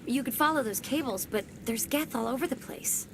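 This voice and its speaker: A woman's voice: high voice, a little fast, background noise. A woman playing an anxious young research assistant in a video game, earnest and hesitant in manner. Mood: uneasy, hesitant.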